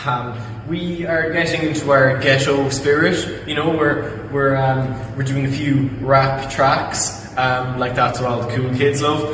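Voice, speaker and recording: A man's voice: mid-range voice, steady tone, measured pace, hardly any pauses, natural voice. A man playing an awkward would-be tough guy in a comedy sketch, hesitant and stumbling, recorded in an echoey hall. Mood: nervous bravado. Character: awkward young man trying to seem tough. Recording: echoey hall, distant, background noise.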